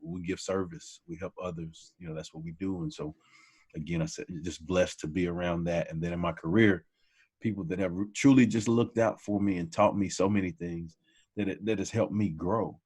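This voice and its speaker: A man's voice: low voice, fairly even intonation, normal speed, darkish tone, breathy edge. A man in his forties, a teacher and podcast guest, talking in casual conversation, humble and friendly, calling people "man" often. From Texas.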